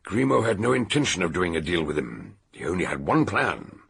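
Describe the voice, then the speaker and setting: An actor playing an eccentric older British detective in a radio drama, theatrically and deliberately laying out a murder solution in a gravelly, husky voice. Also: male voice, deep voice, big pitch swings, a bit bright, exaggerated voice. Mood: assured, expository.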